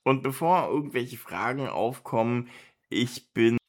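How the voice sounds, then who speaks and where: A man's voice: mid-range voice, lively intonation, unhurried. A man in his fifties hosting his own podcast, talking in a loose, rambling way with lots of filler words and repeated phrases. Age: 50s. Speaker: podcast host. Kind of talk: casual solo podcast monologue.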